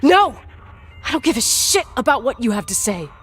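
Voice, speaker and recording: A woman's voice: fairly high pitch, sing-song, moderate pace, fairly bright tone, husky, full character voice. An actress playing a strong-willed, defiant young woman in a video game, with an intense, emphatic, sweary delivery. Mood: outraged, hurt. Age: young adult. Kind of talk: naturalistic video game voice acting.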